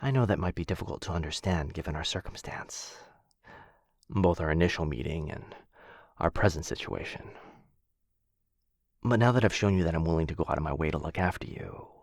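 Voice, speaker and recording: A man's voice: low-pitched, a little fast, long pauses, slightly rough, dry recording, studio quality. A man voicing a possessive, doting dragon captor in a boyfriend ASMR fantasy roleplay, theatrical and coaxing, with hesitant musing. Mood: tender, coaxing.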